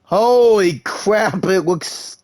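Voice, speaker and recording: A man's voice: high voice, very slow, darkish tone, slight background noise. A man streaming a game playthrough, reading lines aloud and reacting in a loose, rambling way with lots of fillers. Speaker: gameplay streamer.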